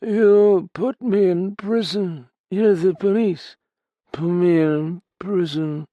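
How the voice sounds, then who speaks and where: A man's voice: high voice, steady tone, slow pace, no echo, muffled recording, pitched above the performer's own voice. A man voicing a drunken, terrified older male pianist who talks in slurred, clipped fragments and pleads. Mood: despairing. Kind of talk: audiobook character voice.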